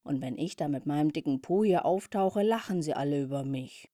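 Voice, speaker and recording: A woman's voice: low-pitched, lively intonation, a little fast, warm tone, no echo, clean studio sound, voice pushed down. A woman voicing a plump young female bumblebee in a children's story, sighing and plain-spoken. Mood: glum. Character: plump young female bumblebee, self-conscious but brave.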